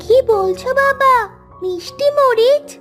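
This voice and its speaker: A woman's voice: very high voice, slow pace, a bit bright, exaggerated voice. A woman voicing a sweet, obedient little village daughter in a cartoon, childlike and eager. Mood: puzzled. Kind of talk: Bengali cartoon dubbing. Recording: music behind the voice.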